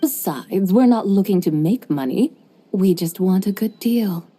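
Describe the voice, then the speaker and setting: A woman voicing a calm, formal female traveler in a video game, speaking in a measured and precise way. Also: mid-range voice, slightly rough. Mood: composed.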